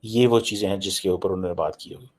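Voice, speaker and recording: A man's voice: sits low, steady tone, quick pace, a little mellow, husky. Emphatic Pakistani man giving political analysis. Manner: emphatic, repeats phrases for effect. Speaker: political commentator. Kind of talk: informal news analysis, mixing English into his speech.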